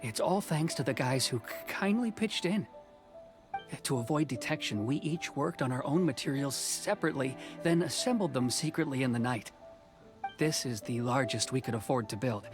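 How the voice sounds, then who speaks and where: Man voicing modest boatbuilder, easygoing, mid pitch, game, music underneath. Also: animated, normal speed, natural voice. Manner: humble, easygoing, nostalgic. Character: modest middle-aged male boatbuilder. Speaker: game character. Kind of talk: video game voice acting. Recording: game audio with music behind.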